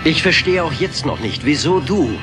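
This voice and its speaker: An earnest programmer played by a man speaks with a varied, lively pitch. He is questioning and skeptical. Clear music sits behind the radio drama dialogue. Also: normal speed, natural voice. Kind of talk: film dialogue in an audio drama.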